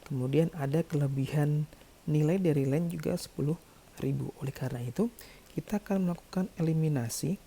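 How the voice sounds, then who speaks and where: Dark-voiced man teaching step by step. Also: on the high side, fairly quick, vocal fry. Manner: repeats key phrases for emphasis, checks in often. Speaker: accounting lecturer. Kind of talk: explanatory tutorial lecture, working through figures step by step.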